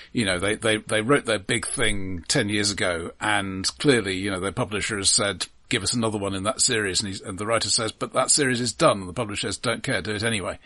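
A man co-hosting a film podcast, discussing in a casual but measured, analytical way. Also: on the low side, fairly bright tone.

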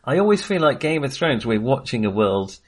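A man's voice: mid-range voice, very animated, brisk. A man co-hosting a film podcast in casual discussion, talking in a hesitant, rambling way and often correcting himself.

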